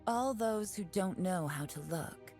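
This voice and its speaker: A woman's voice: mid-range voice, animated, a little slow, darkish tone, lightly acted. Woman acting a sly graceful fox lady, game voice line style, faint music. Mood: sly, cool.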